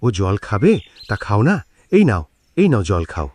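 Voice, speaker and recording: A man voicing a lazy, sleepy, good-natured farmer in a cartoon moral story, with whiny, drawn-out exclamations. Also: on the low side, huge pitch swings, a little mellow. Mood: plaintive. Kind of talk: animated moral story voice acting.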